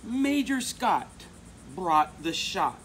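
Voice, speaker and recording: A middle-aged American man with an expressive, emphatic delivery, presenting and reading a children's picture book aloud. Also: sits high, very slow, soft background music. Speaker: volunteer storybook reader. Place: outdoors among palm trees. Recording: outdoor recording with insect noise. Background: crickets and insects.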